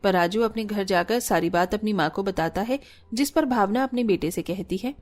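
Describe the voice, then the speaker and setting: A woman reading scripted narration for an animated family story. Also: mid-range voice, moderate pace.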